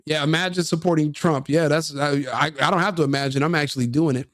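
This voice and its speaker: Sneering crude reaction commentator. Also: male voice, a little high, fairly even intonation, fast talker, no room sound, clean studio sound. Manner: crude, sneering, mocking, laughs often.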